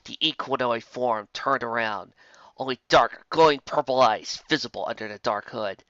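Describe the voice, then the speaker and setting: A man's voice: mid-range voice, moderate pace, pushed and bright, no room sound, muffled recording. A man reading a scripted fantasy story aloud as a dramatic narrator.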